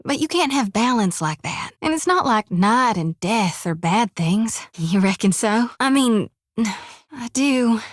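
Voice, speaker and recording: A woman's voice: fairly high pitch, expressive, measured pace, no echo, studio quality. A woman in her twenties voicing a young, stubborn soul-harvesting priestess who talks in a folksy, homespun way full of rural sayings. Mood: wry. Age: 20s. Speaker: game companion. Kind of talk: game voice acting.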